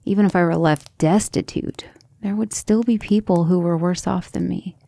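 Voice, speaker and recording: A gentle, earnest vampire lover, played by a woman in an intimate ASMR roleplay. Her voice is mellow and breathy with some creak, and she speaks tenderly and hesitantly, as if confiding in someone dear. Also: on the low side, steady tone, normal speed, no echo. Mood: troubled, doubting. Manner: soft, hesitant, tender, often breathy near-whisper. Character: gentle, earnest, self-doubting female vampire, devoted lover.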